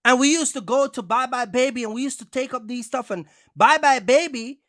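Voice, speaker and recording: Casting: male vlogger, high voice, dry recording, casual streetwise storyteller, emphatic and repetitive. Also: fairly quick, fairly bright tone, no echo. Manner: emphatic, repetitive, addresses listeners directly. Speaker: box truck owner-operator and vlogger.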